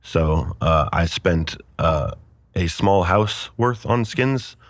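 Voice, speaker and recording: Deep-voiced sheepish man from Oklahoma. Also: animated, slow, no room sound. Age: 30s. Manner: sheepish, defensive. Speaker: guest.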